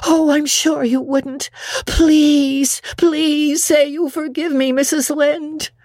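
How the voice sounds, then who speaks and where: A woman's voice: high voice, fairly even intonation, slow pace, a little mellow, no echo, clean studio sound, voice pushed up. A woman voicing a gushing, imaginative orphan girl with theatrical, earnest delivery in a storybook reading. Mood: pleading, remorseful. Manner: theatrical, effusive, earnest pleading. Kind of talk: dramatic audiobook reading.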